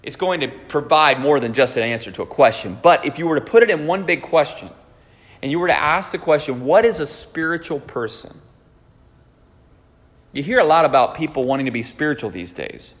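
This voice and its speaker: A man's voice: fairly high pitch, lively intonation, fairly quick. Man in his forties, pastor teaching in a church, chatty, hall reverb. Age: 40s. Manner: conversational, checks in with listeners. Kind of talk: teaching sermon. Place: church sanctuary.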